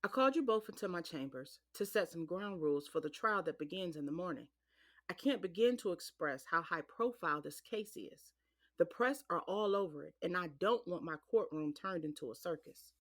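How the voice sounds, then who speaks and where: A stern female judge, voiced by a male narrator in an audio drama, speaking with firm authority. The voice is pitched well above a man's usual register. She lays down the rules without softness. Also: expressive, moderate pace, exaggerated voice. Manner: firm, no-nonsense.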